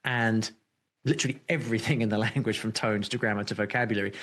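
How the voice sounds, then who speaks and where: A man's voice: fairly low pitch, fairly even intonation, quick pace, fairly bright tone. A man hosting a podcast interview, thinking aloud with frequent restarts and fillers. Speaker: podcast host.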